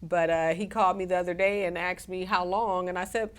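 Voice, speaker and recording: A woman with a cold and a scratchy voice, chatting as a guest on a live community TV show, halting now and then with fillers. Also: lowish voice, fairly even intonation. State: hoarse from a cold. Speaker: guest, community organization president. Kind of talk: casual live TV interview.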